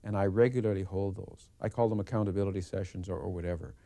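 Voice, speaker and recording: A man's voice: low-pitched, steady tone, quick pace, creaky, dark tone, no echo. A middle-aged man answering interview questions thoughtfully, with hesitations and restarts. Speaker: member of parliament interviewed.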